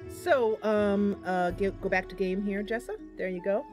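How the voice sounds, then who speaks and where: A woman doing casual live game commentary as a streamer, chatty and a bit goofy, thinking out loud as she goes. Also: medium pitch, a little slow, over music. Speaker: streamer and host of a gaming channel.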